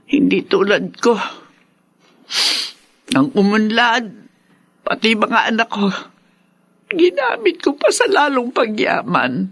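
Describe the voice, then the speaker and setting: A woman's voice: mid-range voice, very animated, takes it slowly, pauses often, breathy edge, no room sound, full character voice. An actress playing a proud, domineering older mother in a melodramatic radio drama, emotional and theatrical. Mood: remorseful, sorrowful.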